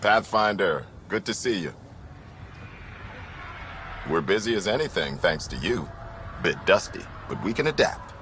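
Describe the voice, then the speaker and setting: An actor playing a plain-spoken, hardworking colony mayor in a space game, modest and down-to-earth. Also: male voice, deep voice, big pitch swings, a little slow, long pauses, a bit bright, slight background noise, full character voice. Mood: steady, eager. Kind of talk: video game cutscene acting.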